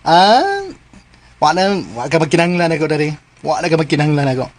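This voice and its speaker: A man's voice: on the high side, animated, fairly quick, close to an everyday voice. A man playing a flamboyant, possessive, scheming bodyguard in a Filipino radio drama, exclaiming in a theatrical sing-song. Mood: sulky, hurt.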